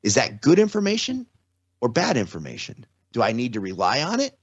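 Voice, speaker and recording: A man's voice: medium pitch, huge pitch swings, fairly quick, husky, no echo. A man in his forties or fifties, a podcast guest, explaining ideas earnestly in casual talk with many restarts. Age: 40s or 50s. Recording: slightly dull lo-fi audio.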